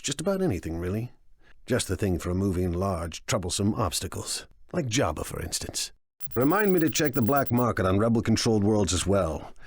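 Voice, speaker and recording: An actor playing a smug, scheming crime lord in a video game, wry, theatrical and sure of himself. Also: male voice, lowish voice, no echo. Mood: gloating. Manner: wry, self-assured, theatrical.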